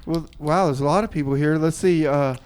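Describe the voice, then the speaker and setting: A male community radio host with a clear, smooth voice set slightly high. He talks casually and haltingly, improvising as he goes. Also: darkish tone.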